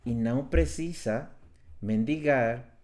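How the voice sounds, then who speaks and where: A man's voice: medium pitch, slow, a little mellow. A man facilitator on a live stream, speaking earnestly about love and self-awareness and repeating phrases for emphasis. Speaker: workshop facilitator. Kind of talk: live stream talk on relationships. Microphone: live stream from home.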